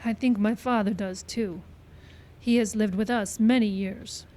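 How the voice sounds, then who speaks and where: A woman's voice: medium pitch, fairly even intonation, a little slow, dark tone, lightly acted. An actress playing a proud, solemn middle-aged woman in a radio western, speaking in formal, simple sentences. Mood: solemn, dignified. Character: proud, solemn middle-aged Pawnee daughter of old man. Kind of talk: old-time radio western acting. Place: live stage show in a park.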